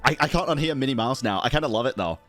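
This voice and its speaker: A man's voice: mid-range voice, animated, very fast, over music. A man live-streaming a video game, reacting in a jokey, slangy, exclamatory way and reading dialogue aloud. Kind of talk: live game commentary with dramatic reading of on-screen text.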